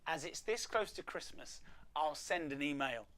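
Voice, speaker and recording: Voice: medium pitch, lively intonation, normal speed, fairly bright tone, pitched below the performer's own voice, lightly acted. A storyteller voicing the cheerful, helpful hero of a children's Christmas story in a playful, emphatic way. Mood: worried.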